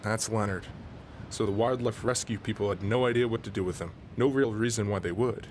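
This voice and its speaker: Man playing a low-voiced, plainspoken weary husband. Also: brisk, darkish tone, a little hiss or hum, lightly acted. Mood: matter-of-fact. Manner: matter-of-fact, grumbling. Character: weary, plainspoken husband, gruffly kind rural rescuer. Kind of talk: mock documentary interview.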